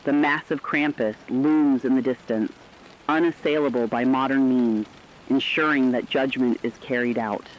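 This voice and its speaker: A man playing a solemn radio reporter, reading scripted horror bulletins in a measured, foreboding way. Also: medium pitch, steady tone, a little hiss or hum, natural voice. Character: solemn radio field reporter warning of terror. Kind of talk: scripted dramatic radio news narration. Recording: dull lo-fi radio sound, rain behind.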